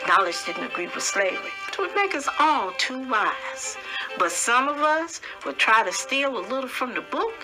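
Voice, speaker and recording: A spirited elderly woman, played by a woman reader, reminisces about her days in slavery in dramatic verse. Her voice is fairly high and her telling folksy and animated, with clear fiddle music behind it. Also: moderate pace, rough recording. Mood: wry reminiscing. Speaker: poetry reader. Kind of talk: dramatic first-person storytelling in verse.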